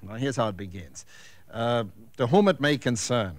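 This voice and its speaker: An actor playing a troubled real estate agent, stammering and earnest, in 1940s radio drama style. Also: male voice, medium pitch, lively intonation, normal speed, close to an everyday voice. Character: nervous, troubled male real estate agent confiding in friend.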